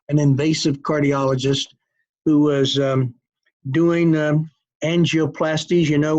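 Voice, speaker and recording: A man in his seventies telling stories as an interview guest in a folksy, unhurried, wry manner. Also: fairly high pitch, darkish tone, dull audio. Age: 70s. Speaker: cardiologist guest. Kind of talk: conversational storytelling interview.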